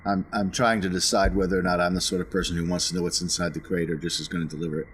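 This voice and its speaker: Male player, lowish voice, wry and tentative casual game chat, slight background noise. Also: level tone, very fast, fairly bright tone.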